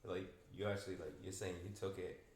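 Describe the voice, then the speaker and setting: A man's voice: deep voice, fairly even intonation, brisk, darkish tone. A young man hosting a podcast, chatting in a laid-back, rambling way and filling his talk with "like.". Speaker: podcast host. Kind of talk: casual podcast chat. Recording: roomy room with faint noise.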